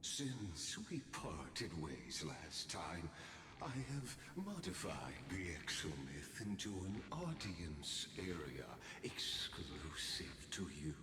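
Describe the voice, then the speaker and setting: Cold evil mastermind for a game, super deep n slow, smug and theatrical, noisy bg. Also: male voice, sing-song, full character voice. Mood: smug, composed. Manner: theatrical, measured, eloquent, condescending. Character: cold, calculating male villain mastermind, calm and menacing. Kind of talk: scripted video game acting. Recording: game audio, clearly noisy background sound.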